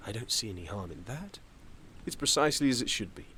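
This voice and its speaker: A dry, self-assured country doctor. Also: male voice, lowish voice, big pitch swings, sharp tone, slight background noise. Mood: wry, calm. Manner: understated, measured, dry. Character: wry, self-assured 55-year-old male country doctor. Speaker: actor in an audio drama. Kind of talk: naturalistic stage dialogue.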